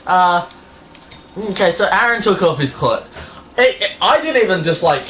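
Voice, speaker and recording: A man co-hosting a casual read-aloud of fan fiction, halting and exasperated, swearing as he goes. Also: sits high, expressive, bright, forceful tone. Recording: noisy room background.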